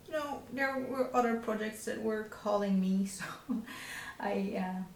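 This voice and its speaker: A woman in her thirties speaks English with a Dutch accent, not her first language. She chats casually about her knitting, stopping often for fillers and restarts and laughing softly now and then. The room gives her voice a clear echo, with a faint hiss underneath. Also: medium pitch, unhurried. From Netherlands. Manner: hesitant, many fillers and restarts, frequent small laughs. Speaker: knitting podcast host. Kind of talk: casual show-and-tell chat.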